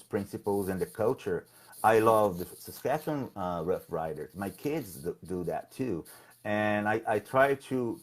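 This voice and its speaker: Low-voiced man. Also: darkish tone. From Brazil. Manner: halting, repeats words, frequent fillers. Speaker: political candidate. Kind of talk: conversational interview.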